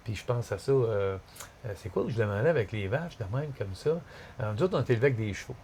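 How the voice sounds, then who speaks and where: A middle-aged man with a Quebec French accent, chatting casually as a podcast host in an excitable, colloquial, exclamatory and scattered way. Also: medium pitch, fast talker. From Quebec, Canada. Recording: clearly noisy background ambience. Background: ambient noise.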